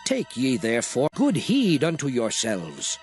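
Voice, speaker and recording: A man's voice: mid-range voice, huge pitch swings, a little slow, music in the background. An actor playing a solemn biblical prophet, declaiming scripture to his people in archaic, oratorical style. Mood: exhorting, earnest. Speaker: dramatized scripture reader. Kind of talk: dramatized King James Bible reading.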